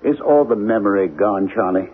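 An actor playing an obsessed, frightened husband in a 1970s radio drama, speaking earnestly and urgently with dramatic pauses. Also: male voice, lowish voice, breathy edge, phone-quality sound. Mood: probing, intense. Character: obsessed, frightened husband convinced of alien invasion. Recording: noisy, band-limited 1970s radio broadcast.

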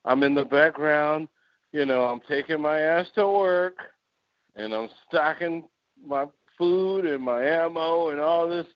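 A man's voice: fairly high pitch, unhurried, dry recording. A man in his forties from the United States, co-hosting a podcast and talking bluntly with plenty of swearing. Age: 40s. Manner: blunt, swears freely, opinionated. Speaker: podcast co-host. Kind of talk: casual chat. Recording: muffled lo-fi sound.

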